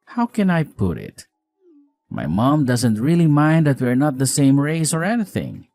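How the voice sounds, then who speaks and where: A man playing a weary, apologetic newlywed husband in a scripted audio drama, earnest, expressive, caring and frank. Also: mid-range voice, a little slow, faint music behind. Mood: concerned.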